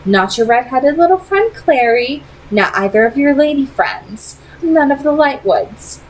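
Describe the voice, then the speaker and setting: A woman's voice: high voice, expressive, voice pushed up, poor audio. A woman voicing a proud, secretive female vampire who leads a clan and speaks formally and persuasively in a read-aloud story. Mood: stern, secretive. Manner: formal, deliberate, dramatic phrasing.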